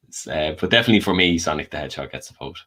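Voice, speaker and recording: Deep voiced guy on a panel, casually arguing his picks. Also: lively intonation, quick pace, pushed and bright. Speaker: guest panellist. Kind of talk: casual film debate.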